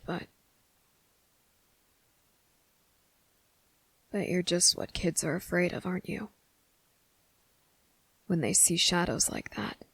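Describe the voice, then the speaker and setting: Woman as defiant young boy, guarded, lowish pitch, fry in the voice, deliberate delivery, ASMR audio drama. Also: dry recording, full character voice. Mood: wary, probing. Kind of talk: ASMR roleplay audio drama, soft monologue.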